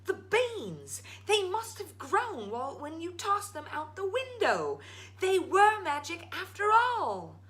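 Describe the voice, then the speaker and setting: A woman voicing an earnest, naive, excitable farm boy in a storybook. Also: very high voice, slow, voice pushed up, full character voice. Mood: excited. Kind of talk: storybook read-aloud.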